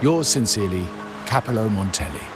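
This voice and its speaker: A man's voice: on the low side, expressive, a little fast, over music, natural voice. An actor voicing a courtly, scheming male heir of a powerful family in a video game, speaking formally. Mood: formal, gracious. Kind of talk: theatrical video game voice acting.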